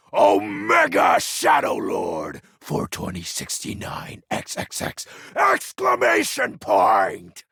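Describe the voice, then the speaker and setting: An actor playing a melodramatic, edgy lord of shadows in a video game, pompous and theatrical, with a gravelly, breathy voice. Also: male voice, low-pitched, a bit bright, dry recording, clean studio sound, heavily acted. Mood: grandiose. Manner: pompous, theatrical, archaic wording. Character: melodramatic edgy male shadow lord, grandiose living darkness. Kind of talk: video game character acting.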